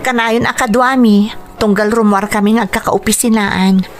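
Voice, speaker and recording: A woman's voice: medium pitch, steady tone, moderate pace, soft background music, close to an everyday voice. A Filipino woman with an Ilocano accent playing an earnest young adult daughter confessing to her father in a radio drama. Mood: uneasy, explaining. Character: earnest, confessing grown daughter, pregnant professional.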